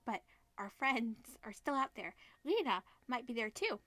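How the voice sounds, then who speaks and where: A woman's voice: fairly high pitch, sing-song, unhurried, fairly bright tone, dry recording, heavily acted. A woman voicing an anxious, impulsive student hero in a visual novel. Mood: agitated, urgent. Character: anxious, impulsive student protagonist searching for a friend.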